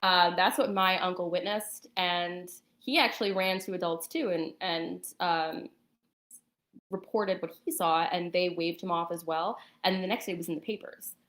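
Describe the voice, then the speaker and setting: A woman telling a personal story in a casual, conversational and somewhat halting way. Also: on the low side, steady tone, fairly bright tone.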